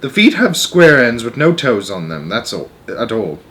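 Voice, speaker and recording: A man's voice: mid-range voice, big pitch swings, moderate pace, a bit bright. A man voicing a grave, knowing old grandmother in a storybook, explaining grim lore emphatically and matter-of-factly. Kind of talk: storybook read-aloud character.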